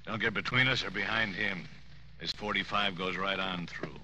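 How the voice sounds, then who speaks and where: A man's voice: deep voice, fairly even intonation, normal speed, pushed and bright, poor audio. Tough, hard-edged radio gunman. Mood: menacing. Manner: curt, threatening. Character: tough, menacing male killer with a gun. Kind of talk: 1940s radio crime acting. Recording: old radio recording, faint noise.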